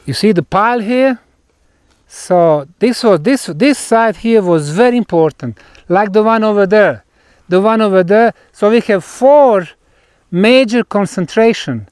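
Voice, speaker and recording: A man guiding an on-site tour outdoors, explaining in emphatic, deliberate short phrases with pauses. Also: sits high, animated, a little mellow, clean tone, dry recording. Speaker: tour guide and researcher.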